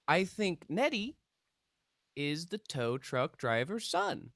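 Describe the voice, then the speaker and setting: Slacker sleuth, played by a man: unhurried, swooping delivery, offhand but earnest, young, dry close table-read sound. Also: on the high side, very animated, a bit bright, no room sound, exaggerated voice. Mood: reluctant. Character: Hank, breezy stoner young amateur paranormal investigator. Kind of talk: live script table read.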